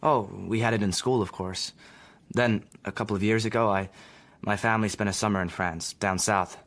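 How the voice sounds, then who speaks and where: A man's voice: lowish voice, fairly even intonation, moderate pace, a bit bright, dry recording, close to an everyday voice. An actor with an American accent playing a guarded, polite, awkward teenage boy in a radio drama, hesitant and deferential. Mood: shy, evasive. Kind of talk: naturalistic radio drama dialogue. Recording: clearly noisy background ambience.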